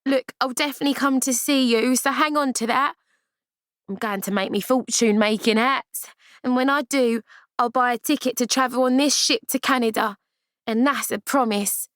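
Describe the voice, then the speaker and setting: A woman voicing a loyal, warm-hearted working-class girl. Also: a little high, steady tone, moderate pace, no room sound, clean studio sound, pitched above the performer's own voice, lightly acted. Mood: promising.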